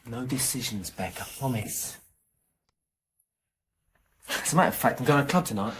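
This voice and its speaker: A man's voice: mid-range voice, moderate pace, a bit bright, slight background noise, natural voice. A man playing an eager, cocky young small-time crook in a naturalistic radio drama, keen to reassure and persuade. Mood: defensive, reassuring.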